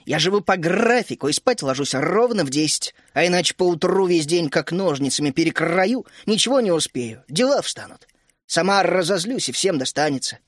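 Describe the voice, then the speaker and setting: A man's voice: high-pitched, expressive, moderate pace, no echo, clean studio sound. Man doing a fiery scolding gnome housewife. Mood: brisk. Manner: shouting, scolding, indignant. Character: stern bossy female gnome housewife, fiery.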